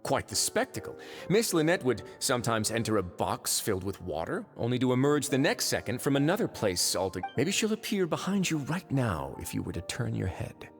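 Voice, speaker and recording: A man's voice: mid-range voice, very animated, brisk. A man playing an unflappable fortress warden in a video game, suave, dryly polite and teasing. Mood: wry, teasing. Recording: reverberant, music behind.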